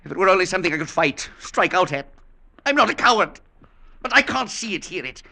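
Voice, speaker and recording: Haunted old professor for a vintage radio drama, gravelly, bright and fairly high, intense and urgent. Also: male voice, big pitch swings, fairly quick, exaggerated voice. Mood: frantic. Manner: intense, urgent, rising to near-hysteria. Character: haunted older male professor, proud and frightened. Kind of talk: 1950s radio drama acting.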